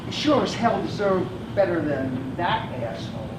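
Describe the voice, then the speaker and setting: An actress playing a supportive, blunt friend in a live stage play, speaking frankly and encouragingly. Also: female voice, on the low side, expressive, moderate pace, natural voice. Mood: warm, frank. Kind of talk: live one-act stage play dialogue. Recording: echoey distant stage, audience noise.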